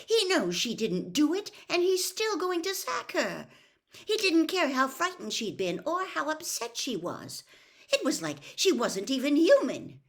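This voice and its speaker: A woman's voice: high voice, wildly swooping pitch, measured pace, voice pushed up, heavily acted. A woman voicing an outspoken young girl in a storybook, heated and insistent in her indignation. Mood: furious indignation.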